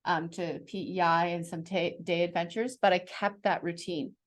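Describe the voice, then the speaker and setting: A middle-aged woman explaining things conversationally as a co-host, in a measured, practical way. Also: fairly low pitch, fairly even intonation. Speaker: parent sharing parenting strategies as co-host.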